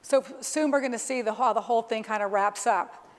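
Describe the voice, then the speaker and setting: A middle-aged woman presents a live keynote demonstration, explaining as she goes. Her voice is on the high side and rings with the long reverb of a large hall. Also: brisk. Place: large conference stage, indoors. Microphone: clip-on lapel microphone. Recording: reverberant hall sound through PA.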